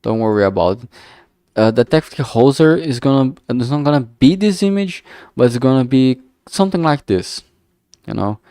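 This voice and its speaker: A man's voice: mid-range voice, expressive, moderate pace, warm tone, no echo, studio quality. A man from Brazil with a thick Brazilian Portuguese accent, rambling hesitantly with many fillers as he thinks aloud on a stream. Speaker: game developer streamer.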